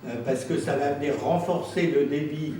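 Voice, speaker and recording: A man speaking as a council president, explaining himself in an insistent, deliberate way at a public meeting. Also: a little high, fairly even intonation, dark tone. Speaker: president of an intercommunal council answering questions. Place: indoor council meeting room. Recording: very echoey room, distant mic, faint noise.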